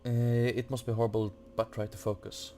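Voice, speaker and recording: A man's voice: medium pitch, little pitch movement, a little fast, warm tone. A man giving a casual game walkthrough, hesitantly muttering to himself and reading text off the screen. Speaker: gaming video host. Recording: faint noise from a fan. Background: computer or room fan.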